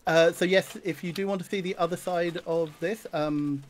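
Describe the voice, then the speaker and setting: A man hosts a charity game stream, speaking in a slightly raised pitch. He hesitates often, filling gaps with little pauses. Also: steady tone, a little hiss or hum. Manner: hesitant, full of uhs. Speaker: stream host. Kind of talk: casual livestream hosting.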